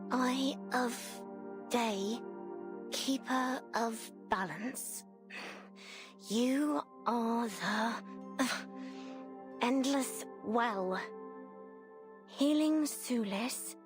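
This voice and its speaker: An actress playing a devout, vulnerable young athlete in a game, praying in a halting, reverent way. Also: female voice, on the high side, over music.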